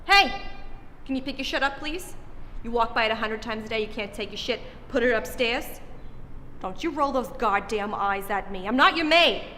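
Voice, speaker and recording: A woman playing a shrill nagging mother. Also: animated, normal speed, pushed and bright, in a large hall, a little hiss or hum. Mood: yelling. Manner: shrill, scolding, sarcastic. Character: exasperated nagging middle-aged mother.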